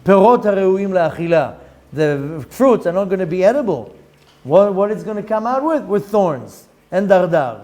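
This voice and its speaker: A middle-aged man with an Israeli accent teaching a Torah lesson, reading Hebrew text quickly and explaining it in English with lively rhetorical questions. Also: sits high. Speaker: rabbi teaching Zohar.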